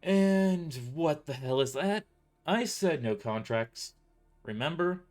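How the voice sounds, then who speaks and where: A man's voice: a little high, lively intonation, takes it slowly, darkish tone, faint music behind, pitched above the performer's own voice. A man playing a grumpy young mercenary in an audio drama, irritable, sarcastic and foul-mouthed. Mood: irritated.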